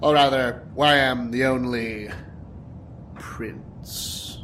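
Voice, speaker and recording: A man's voice: medium pitch, fairly even intonation, takes it slowly, a bit bright, a little hiss or hum. An actor playing a cocky, sadistic male crime lord in an audio drama, theatrical and menacing with a taunting manner. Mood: gloating menace. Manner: theatrical, menacing, punctuated by mocking laughs.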